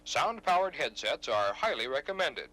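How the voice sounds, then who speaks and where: A man's voice: a little high, big pitch swings, normal speed, bright, forceful tone, poor audio. A man reading scripted instructional narration in a formal, precise manner, as on a 1970s training videotape.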